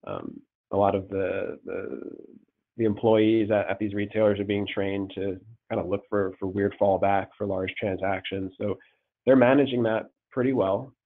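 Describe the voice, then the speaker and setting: A man with a cold presenting a webinar on fraud as a risk manager, halting and repeating words, with many ums. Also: lowish voice, gravelly. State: congested with a cold. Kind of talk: slide presentation over a call. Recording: tinny phone-line audio.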